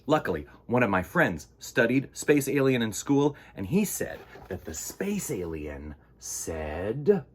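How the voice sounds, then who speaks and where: Male storytime host, slightly rough-edged voice, very lively intonation, playful and emphatic read-aloud for young kids. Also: mid-range voice, slow. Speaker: children's storytime host and reader. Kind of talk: animated picture-book read-aloud.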